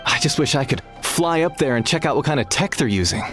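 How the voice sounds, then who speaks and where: A man's voice: a little high, lively intonation, fast talker, fairly bright tone, faint music behind. An actor voicing a nervous, chatty male technician in a video game who rambles through his plan. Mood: puzzled. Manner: rambling, relieved.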